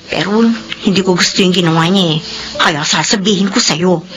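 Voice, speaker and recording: An actress playing a blunt, gossipy coworker and friend in a radio drama, chatty in manner. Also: female voice, fairly low pitch, normal speed, background noise, natural voice. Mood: confiding.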